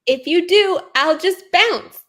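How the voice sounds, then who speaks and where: A woman's voice: extremely high pitch, animated, takes it slowly, a bit bright. A woman competing in a pun contest, bantering playfully with hesitant fillers and giggles over a video call. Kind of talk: quick-fire pun banter.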